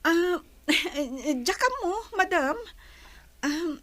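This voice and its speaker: A woman's voice: extremely high pitch, lively intonation, very slow, sharp tone, exaggerated voice. An actress playing a flustered, upset woman in a radio drama, speaking hesitantly and deferentially.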